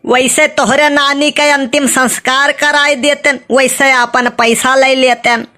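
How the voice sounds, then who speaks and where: A woman's voice: high-pitched, flat delivery, a little slow, bright, forceful tone. A woman voicing a suspicious, sarcastic cartoon moneylender who insistently nags, with an Awadhi accent and comic exaggeration. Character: suspicious, nagging village moneylender demanding repayment.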